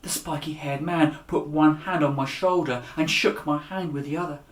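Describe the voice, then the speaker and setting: A man reading a children's story aloud as its author, with a dramatic, expressive delivery that rises and drops to a hush. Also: fairly high pitch, normal speed. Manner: dramatic, with raised voices for dialogue and hushed endings. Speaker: author reading his own children's book. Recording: echoey room.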